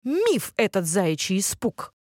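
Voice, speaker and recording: A woman voicing a boastful young male hare with a loud, sing-song bragging manner in a children's tale. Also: mid-range voice, very animated, slow pace, no room sound, clean studio sound.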